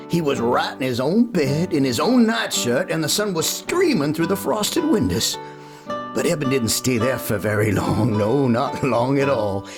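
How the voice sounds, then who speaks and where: A man playing a folksy, easygoing cowboy who tells a story in an old-time radio play, full of homespun asides. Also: mid-range voice. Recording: guitar music playing behind.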